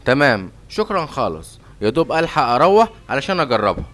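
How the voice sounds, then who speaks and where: A man's voice: medium pitch, big pitch swings, fast talker, a bit bright. A man voicing an obliging, hasty father lion in a cartoon, with an Egyptian Arabic accent. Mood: eager. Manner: hasty, eager to please. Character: hurried, obliging father lion, king of the jungle.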